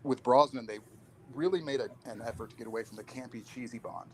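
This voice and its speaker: Male podcast guest, medium pitch, conversational delivery, faint noise behind the voice. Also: fast talker, a little mellow. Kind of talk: casual chat.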